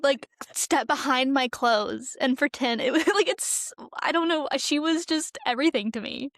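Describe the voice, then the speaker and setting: A woman's voice: sits high, normal speed, fairly bright tone, no echo, studio quality. A young American woman from Tennessee chatting casually as a podcast co-host, telling personal stories in a chatty way. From Tennessee, USA. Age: young adult.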